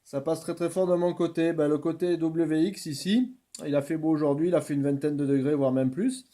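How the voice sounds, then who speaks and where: A man works as an amateur radio operator. His voice sits a little high but has a mellow, rounded tone. He talks courteously, moving between chat and set radio procedure phrases. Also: steady tone, brisk. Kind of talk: radio contact chat with procedural call-outs. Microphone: radio's original microphone.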